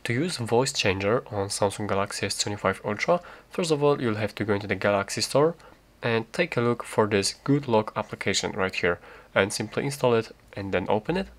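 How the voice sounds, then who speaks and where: Low-voiced man giving a tech tutorial. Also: lively intonation, fairly quick. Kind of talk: step-by-step spoken walkthrough.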